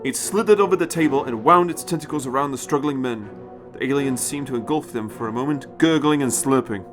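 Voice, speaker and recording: A man's voice: mid-range voice, fairly quick, soft background music. A man giving a dramatic scripted narration of a story as its narrator.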